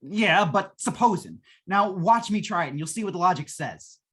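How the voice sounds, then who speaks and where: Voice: mid-range voice, expressive, normal speed, a bit bright, a little hiss or hum, natural voice. A performer playing a worried, slangy repairman in a 1950s-style radio play, exasperated and emphatic in manner. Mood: insistent. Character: worried, excitable working-man repairman, narrating his tale.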